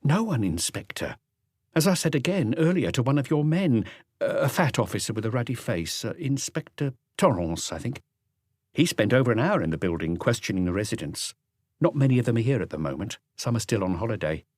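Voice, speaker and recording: A man's voice: medium pitch, sing-song, fairly quick, darkish tone, no room sound, studio quality. A man voicing a young, affable female concierge in an audiobook, polite, chatty and matter-of-fact. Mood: helpful, chatty. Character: young, affable woman concierge, helpful and soft-spoken. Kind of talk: audiobook character dialogue.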